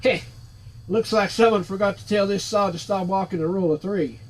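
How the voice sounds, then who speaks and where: A man's voice: sits high, a little fast, low-quality recording. A man voicing a sardonic, wisecracking floating skull in a cheeky, sarcastic manner, reading game dialogue aloud. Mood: knowing, dismissive. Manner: cheeky, sarcastic, street-talking.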